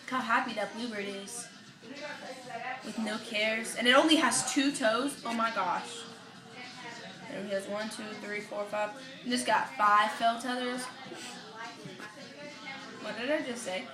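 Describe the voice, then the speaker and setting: A woman with a high-pitched voice reads a children's picture book as parody. She takes her time, stretching words with exaggerated emotion and rambling off into asides. The room is echoey, with a crowd of voices audible behind her. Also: steady tone. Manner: theatrically emotional, drawn-out, rambling. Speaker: comedic storybook reader.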